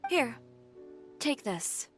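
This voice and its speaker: A woman's voice: fairly high pitch, very animated, extremely slow, loud music behind the voice, heavily acted. A woman playing a proud, self-assured young female fashion designer in a video game, composed and dry yet sincere.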